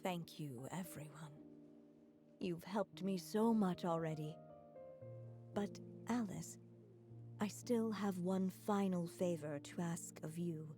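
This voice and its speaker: A woman voicing a solemn alchemist who speaks in a measured, formal way. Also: fairly low pitch, soft background music. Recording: game score loud behind.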